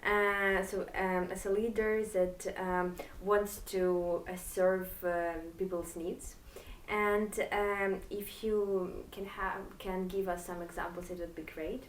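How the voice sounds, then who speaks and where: A woman's voice: mid-range voice, little pitch movement, slow pace, few pauses, slight background noise. A woman interviewer asking questions hesitantly, with many fillers and restarts. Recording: roomy and distant from the mic.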